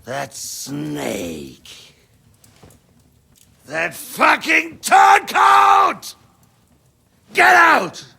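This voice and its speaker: A man playing a hot-tempered, imperious medieval king with a raspy voice in a video game cutscene. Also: very high voice, wildly swooping pitch, drawn-out words, long pauses, sharp tone, shouting, heavily acted. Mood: outraged.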